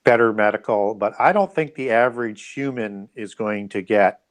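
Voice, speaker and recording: A man giving explanatory answers as an interview guest, speaking conversationally and haltingly with frequent check-in tags. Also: lowish voice. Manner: halting, explanatory, often adds "right?" and "you know".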